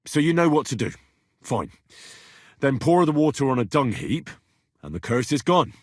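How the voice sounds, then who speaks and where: Husky, animated young male adventurer. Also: medium pitch, moderate pace, no room sound, clean studio sound. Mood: earnest, matter-of-fact. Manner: blunt, earthy, sweary, conversational. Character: plain-spoken young medieval adventurer, blunt, bargaining, quick to threaten. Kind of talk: video game dialogue acting.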